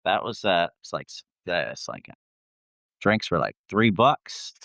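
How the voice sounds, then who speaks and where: A man's voice: deep voice, big pitch swings, measured pace, gravelly, bright, forceful tone, dry recording, clean studio sound. A man who sings in a band, chatting as a guest and telling tour stories in a laid-back, slangy, sweary way. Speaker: band vocalist, interview guest.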